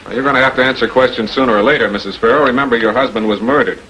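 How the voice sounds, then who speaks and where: Composed 1940s prosecutor played by an actor, noisy soundtrack. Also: male voice, mid-range voice, sharp tone, natural voice. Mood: probing. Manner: measured, questioning, authoritative. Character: calm, probing male public prosecutor, quietly persistent. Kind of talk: 1940s filmed crime drama acting.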